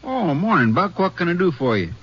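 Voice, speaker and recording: An actor playing an easygoing small-town sheriff with a folksy rural Western American accent in a 1950s radio western. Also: male voice, high voice, big pitch swings, fairly bright tone, slightly rough, heavily acted. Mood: friendly, obliging. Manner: folksy, unhurried, obliging. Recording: muffled, hissy 1950s radio recording.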